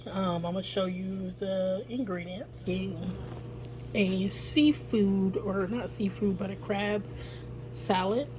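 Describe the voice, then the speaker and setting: A woman with a scratchy voice, chatting casually through a home cooking vlog with lots of ums, filmed on a phone in her kitchen. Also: medium pitch. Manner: chatty, frequent ums and throat clearing. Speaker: family vlogger. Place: home kitchen with dryer running. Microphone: phone camera, later on a mount.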